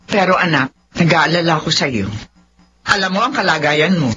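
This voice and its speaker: A woman's voice: sits low, moderate pace, pushed and bright. A woman acting a fretful, protective middle-aged mother in a melodrama, with a Filipino accent. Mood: anxious. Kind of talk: melodramatic drama dialogue. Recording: muffled, hissy recording.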